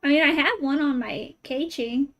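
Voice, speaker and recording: High-pitched woman livestreaming a game. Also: moderate pace, fairly bright tone, faint music behind. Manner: informal, thinking aloud, asking viewers questions. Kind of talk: casual livestream chat with viewers while playing.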